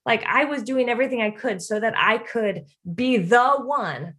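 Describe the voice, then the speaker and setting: A woman co-hosting a faith-based podcast, talking in an animated, confessional way and often slipping into playful quoted voices. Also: fairly high pitch, normal speed. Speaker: podcast co-host.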